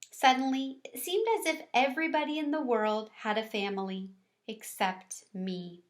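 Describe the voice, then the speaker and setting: A woman with a fairly high voice reads aloud to children. She sounds casual and tells the story expressively, lifting her pitch for dialogue. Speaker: reader of a children's book aloud.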